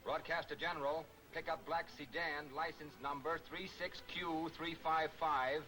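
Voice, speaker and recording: Brisk 1940s police detective. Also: male voice, a little high, steady tone, pushed and bright, rough recording. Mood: urgent, businesslike. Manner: clipped, commanding. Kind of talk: 1940s film serial acting. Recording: vintage 1940s film soundtrack, music behind.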